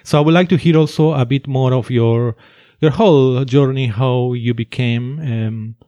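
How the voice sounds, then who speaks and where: A man with a Finnish accent hosting an interview, hesitant and quick to laugh. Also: mid-range voice, mellow, dry recording, studio quality. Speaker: podcast host.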